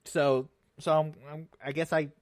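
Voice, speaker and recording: A young man hosting his own show, chatting casually about a video game, rambling and restarting sentences with sudden animated bursts. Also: on the high side, a little slow. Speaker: show host.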